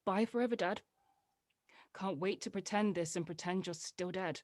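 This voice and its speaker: A woman's voice: on the low side, normal speed, darkish tone, dry recording, natural voice. A woman playing a hurt, stubborn daughter who gets exasperated, in a dramatic script read. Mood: hurt, bitter. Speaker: actor at a table read.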